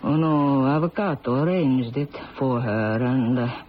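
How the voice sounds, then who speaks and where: An actress playing an ancient, dying Italian grandmother who tells fortunes, speaking with an Italian accent in a halting, theatrical, mournful way in a radio drama. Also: rumbling low. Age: older. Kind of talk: 1970s radio drama acting. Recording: muffled, noisy old radio broadcast.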